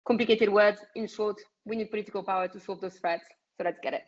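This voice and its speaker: A woman with a French accent speaks bluntly and passionately in a mid-range voice, often pausing on ums. Her answers come over a video call with slightly muffled audio. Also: medium pitch, steady tone. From France. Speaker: activist guest. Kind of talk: casual interview answers.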